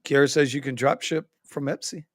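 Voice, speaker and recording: A man hosting a casual livestream chat, reading viewer comments aloud in an animated way. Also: medium pitch, brisk, dry recording, clean studio sound. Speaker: livestream host.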